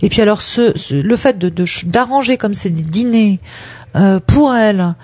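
A woman's voice: medium pitch, moderate pace, darkish tone, no room sound. A woman giving relationship advice on a radio show in a frank, direct way, hesitating often as she talks. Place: radio studio.

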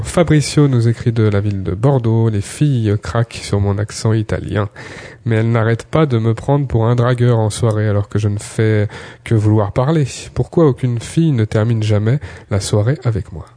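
Mellow low-voiced radio host, male. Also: normal speed, dry recording. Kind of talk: radio talk, reading out listener questions.

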